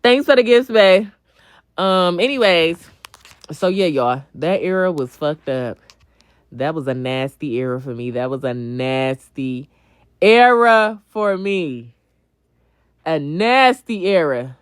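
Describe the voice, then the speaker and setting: A woman's voice: low voice, expressive, takes it slowly, clear, resonant tone, dry recording, clean studio sound. A young adult woman chatting casually on a livestream as she answers viewers, blunt, slangy and full of swearing, often repeating a phrase for emphasis. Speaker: livestream host.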